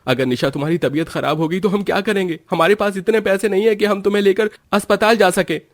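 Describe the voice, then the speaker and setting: A man acts out a young village husband in a cartoon family drama. He is irritable and argumentative, speaking exasperatedly in a raised pitch. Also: fairly even intonation, fast, no echo, clean studio sound, lightly acted. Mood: angry, worried. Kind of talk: Hindi cartoon story dialogue.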